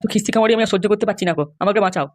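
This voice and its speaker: A meek cartoon husband, acted out by a woman begs at a very rapid pace in a mid-range voice. He sounds henpecked and ailing, forever whining and placating. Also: mid-range voice, no room sound, natural voice. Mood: pleading. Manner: pleading, whining. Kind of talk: amateur comedy cartoon dialogue.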